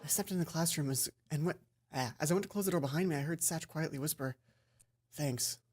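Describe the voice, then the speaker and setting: A man's voice: a little high, fast talker, a little mellow, a little hiss or hum. A man doing a let's play, reading visual novel narration expressively and adding casual commentary. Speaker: let's player. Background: game music.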